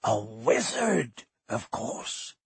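A man voicing a huge, gruff, hot-tempered but good-hearted giant in a booming, growling, folksy way for a storybook. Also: sing-song, extremely slow, no echo, heavily acted. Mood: gruff, fond.

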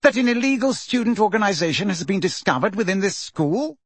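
A male narrator acts out a pompous older politician for a storybook. The voice sits high and swoops widely, blustering and thick with sarcasm, in a dry, close recording. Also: very animated, unhurried, pushed and bright, husky, dry recording, exaggerated voice. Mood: sarcastic, angry.

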